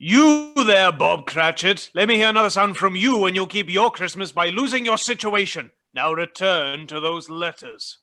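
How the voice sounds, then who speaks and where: A bitter old miser from a radio play, acted by a man, with a forceful, sharp voice pitched fairly high. He is curmudgeonly and sneering, brushing everyone off with open scorn. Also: expressive, normal speed. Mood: stern, dismissive.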